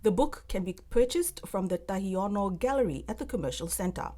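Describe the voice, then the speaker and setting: A woman reporter reading a scripted news voice-over with steady, careful narration. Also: medium pitch, a little fast, very noisy.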